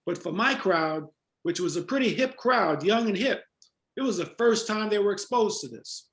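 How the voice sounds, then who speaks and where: A man's voice: high voice, lively intonation, normal speed, a bit bright, echoey room. An older man with an American accent, reminiscing and explaining in a conversational interview. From Jamaica-born, raised in New York. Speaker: interview guest, former club promoter.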